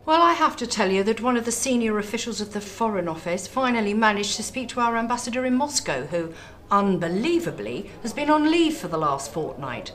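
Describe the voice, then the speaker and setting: An actress plays a government minister in a radio drama. Her medium-pitched voice is formal and dry, with pointed, grimly diplomatic phrasing. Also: big pitch swings, fairly quick, fairly bright tone.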